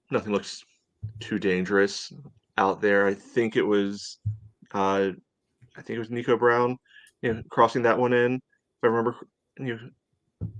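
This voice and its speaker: A man hosting a soccer podcast, chatting casually through match analysis in a halting way full of filler phrases. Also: lowish voice, fairly even intonation, a bit bright, no room sound. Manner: halting, peppered with "you know".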